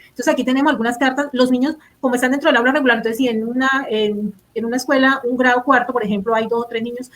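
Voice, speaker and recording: A woman's voice: a little high, steady tone, quick pace. A woman teacher presenting at a forum in a conversational way, with fillers.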